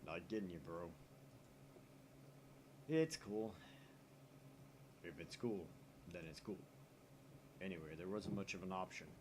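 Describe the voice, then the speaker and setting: A man's voice: sits low, a little slow, long pauses, background noise, pitched below the performer's own voice. A man reading the dialogue of bickering young male visual novel characters in a dry, argumentative manner. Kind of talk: dialogue read aloud.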